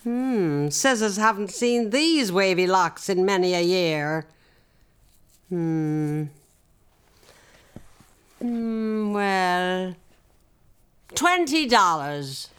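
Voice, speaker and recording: An actress playing a brusque older hair dealer who is curt and impatient, in a radio drama. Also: female voice, medium pitch, expressive, very slow, pauses often, clear, resonant tone. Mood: appraising. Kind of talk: stage-style radio drama acting.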